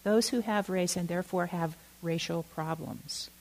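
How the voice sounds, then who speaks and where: A middle-aged woman from Oakland giving a reflective talk, halting and candid, with many fillers and self-corrections. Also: on the low side, a little mellow. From Oakland, California. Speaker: dharma talk speaker.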